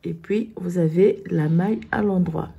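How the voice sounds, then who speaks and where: Stuffed-up hoarse woman, slow knitting tutorial, dark gravelly voice. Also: on the low side. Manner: slow, step-by-step instructions. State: hoarse with a cold.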